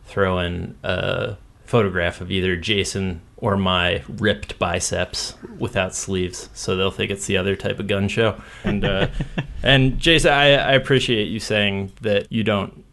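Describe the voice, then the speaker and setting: A man hosting a podcast, playful and quick to laugh in easy comic banter. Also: low-pitched, steady tone, gravelly, a bit bright. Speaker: podcast host.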